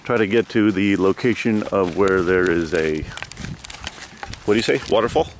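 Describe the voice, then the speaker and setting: A man's voice: low-pitched, moderate pace. Brief: young male vlogger, jokey and self-mocking, winded, recorded outdoors on a camera mic with audible background noise. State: out of breath. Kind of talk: casual hiking vlog. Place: outdoor canyon hiking trail. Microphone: handheld camera mic.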